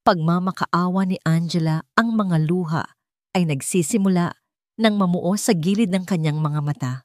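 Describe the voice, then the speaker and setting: A woman's voice: fairly low pitch, moderate pace, dry recording, studio quality. A woman storyteller reading a scripted romantic drama in a dramatic narrating style.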